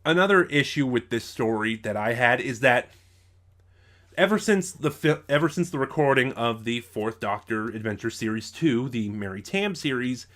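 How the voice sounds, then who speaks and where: A man records an unscripted review in a medium-pitched voice. He talks haltingly, as if working out his opinion aloud, and often breaks off to rephrase a sentence midway. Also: expressive, a bit bright. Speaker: YouTube audio drama reviewer.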